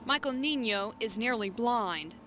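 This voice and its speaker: Female reporter, scripted feature narration, higher-pitched, recorded with very loud background noise. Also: lively intonation, measured pace.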